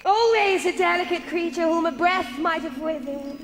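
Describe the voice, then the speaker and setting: An actress playing a ghostly spirit guide in a musical radio drama, knowing, gently pointed and measured in her storytelling. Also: very high-pitched, soft background music. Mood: gentle, knowing.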